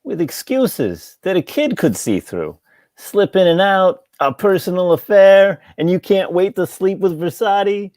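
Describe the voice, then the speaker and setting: A woman's voice: lowish voice, sing-song, unhurried, a little mellow, dry recording, clean studio sound. A woman reading the part of a jealous, lovesick, talkative male barber in a stage comedy table read. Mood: jealous, intense.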